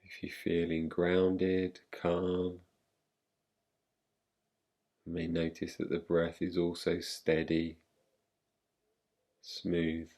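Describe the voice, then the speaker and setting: A man guiding a meditation in a calm, gentle, unhurried manner, leaving long pauses between phrases. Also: deep voice, creaky. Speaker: meditation guide. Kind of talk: guided meditation, yoga nidra.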